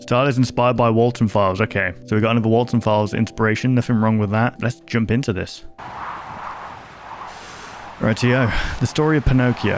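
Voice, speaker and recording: A man giving casual reaction commentary as a video host, thinking aloud informally and often posing questions. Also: medium pitch. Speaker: YouTube host reacting to viewer-recommended horror videos. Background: prominent music behind the voice.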